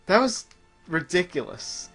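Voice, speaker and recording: Male game-show streamer, higher-pitched and sharp, easygoing play-by-play chat, music behind. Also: big pitch swings, a little slow. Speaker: gaming show host playing a video game. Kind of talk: casual chat, live game commentary. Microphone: microphone on a group internet voice call.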